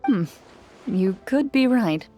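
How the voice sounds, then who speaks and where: A woman playing a composed, reserved young champion duelist in a video game, speaking in a calm, measured, formal way. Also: on the high side, soft background music. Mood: mild, thoughtful.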